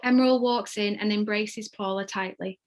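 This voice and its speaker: A woman narrates a script, reading out scene headings and action. Her voice sits at a medium pitch, with the plain delivery of scripted narration. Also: fairly even intonation, normal speed. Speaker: narrator reading stage directions.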